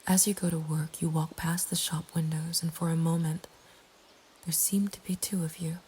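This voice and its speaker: A woman narrating a scripted horror story in a breathy ASMR whisper, with a hushed, deliberate delivery. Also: low voice, little pitch movement. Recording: faint ambient background noise. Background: soft nature ambience.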